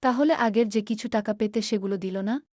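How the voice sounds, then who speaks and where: A woman's voice: medium pitch, brisk, darkish tone, dry recording, clean studio sound, close to an everyday voice. A woman playing an anxious, consoling village wife and mother in a cartoon story.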